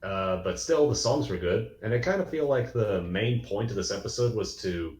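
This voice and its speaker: A man's voice: fairly low pitch, expressive, normal speed, a little mellow, reverberant. A man casually hosting a panel chat, asking the others for their thoughts and giving his own. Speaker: panel host.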